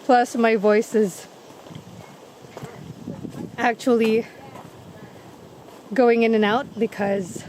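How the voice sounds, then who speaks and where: A woman's voice: on the high side, fairly even intonation, extremely slow, long pauses, a little mellow, rough recording. A woman with a cold, losing her voice, chatting casually as she walks an outdoor coastal trail, recorded on the camera's built-in mic. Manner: chatty, walking while she talks. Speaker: travel vlogger. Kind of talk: casual vlog narration while walking. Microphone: camera microphone. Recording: windy outdoor camera audio. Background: wind and outdoor noise.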